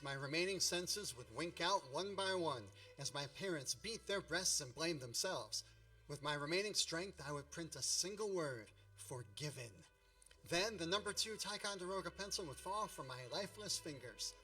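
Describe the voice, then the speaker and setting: A bright, slightly high-pitched man's voice, heard from off the microphone. An actor plays a nostalgic radio-play narrator who tells boyhood troubles with wry, mock-epic grandeur. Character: nostalgic grown man wryly retelling his boyhood mishaps. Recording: distant microphone.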